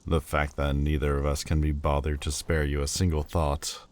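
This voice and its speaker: A man's voice: very deep voice, flat delivery, moderate pace. A man voicing a proud, bitter demon lord who speaks in a cold, cutting, haughty way and hides a lovesick heart. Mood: mocking. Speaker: voice actor. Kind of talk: audio roleplay acting.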